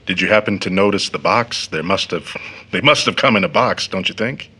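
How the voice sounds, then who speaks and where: A man playing a concerned, careful gentleman in an audio drama, asking questions deliberately. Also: sits low, slightly rough, exaggerated voice. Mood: thoughtful, concerned.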